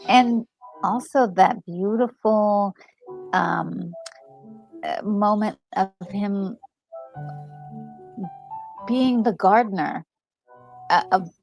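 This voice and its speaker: A woman co-hosting a gaming discussion, talking casually and enthusiastically in a halting, emphatic way over music. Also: medium pitch, gravelly, a little mellow, low-quality recording. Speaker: gaming channel co-host. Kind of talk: casual commentary on a game story. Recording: music playing behind a close, dry voice.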